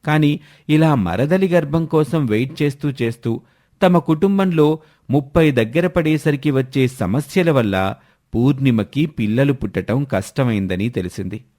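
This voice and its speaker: A man's voice: medium pitch, darkish tone. A man reading scripted narration for a story as the narrator.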